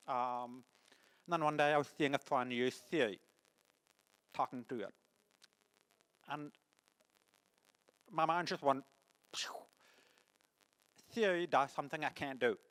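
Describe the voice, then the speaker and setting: A man's voice: mid-range voice, clear, resonant tone, poor audio. Deaf man with a French-English accent. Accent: mixed French and English. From born in the United States, lives in France. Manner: deaf speech, halting with many restarts. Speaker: conference speaker. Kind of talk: conference talk. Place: conference hall.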